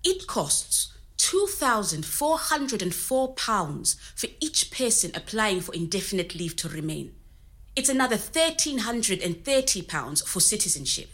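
A woman's voice: on the high side, fairly bright tone. Candid immigrant woman. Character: Zimbabwean woman immigrant in Nottingham, candid and resilient. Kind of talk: scripted oral-history audio drama told as interview.

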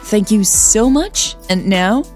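A woman narrating a scripted story as podcast host, with a soft, breathy voice. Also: medium pitch, expressive, slow, faint music behind.

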